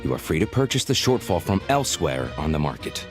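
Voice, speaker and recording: A man's voice: low-pitched, very animated, a little fast, music in the background. A man voicing a curt, unbending, by-the-book supply officer in a video game. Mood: stern, officious.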